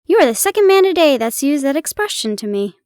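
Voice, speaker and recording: A woman's voice: sits high, sing-song, fast talker, a little mellow, no echo, studio quality. A woman voicing a chatty young man. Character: young male hospital dresser, friendly and chatty.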